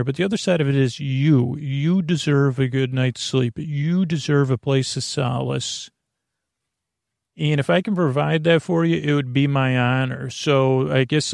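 A man hosting a sleep podcast in a meandering, halting, self-deprecating monologue, often repeating himself and trailing off, with a gravelly voice. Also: medium pitch, a little mellow, dry recording, studio quality. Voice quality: creaky, gravelly. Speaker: podcast host.

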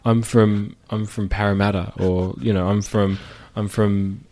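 A man with an Australian accent chatting casually on a podcast as host, lively and quick to mimic how other people talk. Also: fairly low pitch. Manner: chatty, animated, acts out voices of others. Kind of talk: casual chat.

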